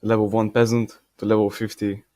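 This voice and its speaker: A man's voice: lowish voice, flat delivery, fairly quick, mellow, no echo, natural voice. A man playing a brash, selfish peasant, reading questions and answering in cocky, blunt slang. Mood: boastful. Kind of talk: in-character answers to read-out questions.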